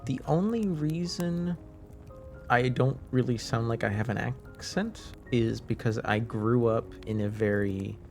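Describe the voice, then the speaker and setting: A native French-speaking man hosting a relaxed livestream. His voice has a creaky, gravelly edge and sounds a little under the weather. He talks slowly and haltingly, trailing off often, with faint music playing behind him. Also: mid-range voice. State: slightly under the weather, deeper than usual, clearing throat. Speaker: live streamer, host. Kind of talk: casual livestream chat with viewers.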